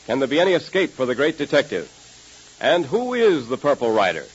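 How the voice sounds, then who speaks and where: A man announcing a 1930s radio show and pitching its sponsor with emphatic delivery. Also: wildly swooping pitch, a little fast, slightly rough. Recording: muffled, hissy 1930s radio recording.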